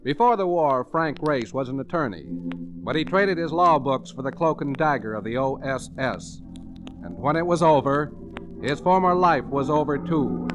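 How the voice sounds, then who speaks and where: A man's voice: on the high side, lively intonation, moderate pace, low-quality recording. A man reading a dramatic scripted introduction as a 1940s radio announcer. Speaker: series announcer. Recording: vintage radio with music behind.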